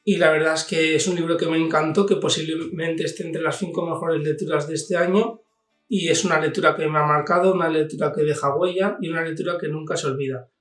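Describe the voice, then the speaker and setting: A man's voice: a little high, steady tone, fairly quick. A man with an accent from Spain, giving an informal book review as a channel host. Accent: Spanish from Spain. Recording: echoey room, distant mic, music behind.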